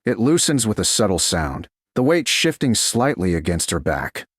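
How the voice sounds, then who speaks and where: A man narrating a scripted romance story in a slow, dramatic storytelling style. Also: fairly low pitch, big pitch swings, husky, no room sound, clean studio sound. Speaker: audiobook narrator.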